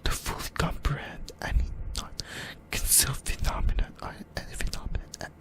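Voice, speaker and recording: A speaker whispers throughout in a breathy, unvoiced ASMR style. The delivery is informal fan talk from a YouTube host, with mild swearing dropped in. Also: moderate pace, fairly bright tone. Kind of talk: ASMR whispered anime discussion.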